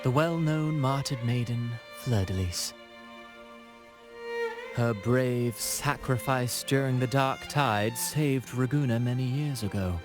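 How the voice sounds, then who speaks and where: An actor playing a courtly, theatrical playwright in a video game, speaking in ornate, pompous phrases with dramatic pauses. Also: male voice, medium pitch, over music, lightly acted. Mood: grandiose, musing. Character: theatrical, courtly, flowery male playwright with grand airs. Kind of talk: video game character acting.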